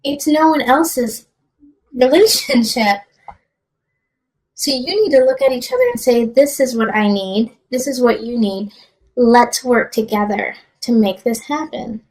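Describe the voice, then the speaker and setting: A woman's voice: on the high side, lively intonation, a little slow, a little mellow, rough recording. A woman giving a conversational tarot reading, speaking directly to the listener with emphatic, encouraging advice. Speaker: tarot reader.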